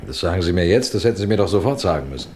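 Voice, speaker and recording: Ein Schauspieler spielt in einem Krimihörspiel einen scharfsinnigen, befehlsgewohnten Kriminalrat, der bedächtig fragt und anordnet. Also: male voice, sits low, lively intonation, a little mellow, slight background noise. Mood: stern, explaining. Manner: commanding, measured, questioning. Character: authoritative, shrewd police detective superintendent, first-person narrator. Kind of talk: German radio crime play acting.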